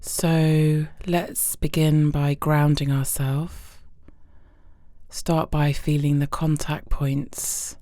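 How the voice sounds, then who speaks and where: A woman guides a relaxation exercise in a very deep voice. Her tone is soft-edged and mellow, and she speaks slowly and soothingly, leaving space between phrases. The sound is close and dry. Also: no echo. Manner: soothing, gentle, unhurried instruction with pauses. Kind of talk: guided body scan meditation.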